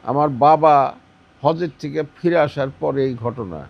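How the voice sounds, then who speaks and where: A man's voice: fairly high pitch, unhurried, gravelly. An older man with a Bangladeshi accent, recounting personal memories in conversation, emphatically repeating phrases for stress. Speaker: interviewee, former armed fighter. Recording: dull, slightly muffled sound.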